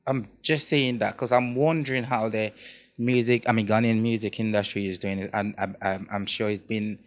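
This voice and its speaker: A man's voice: fairly low pitch, steady tone, moderate pace. Hesitant male podcast host. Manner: hesitant, repeats himself, many fillers. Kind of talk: interview questions.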